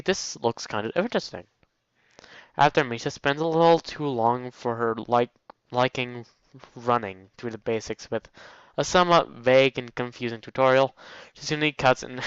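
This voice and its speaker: A man's voice: medium pitch, a bit bright, clear, resonant tone, no echo, highs cut off. A man reading a story game aloud in a casual let's play style, stumbling over words and breaking off into asides.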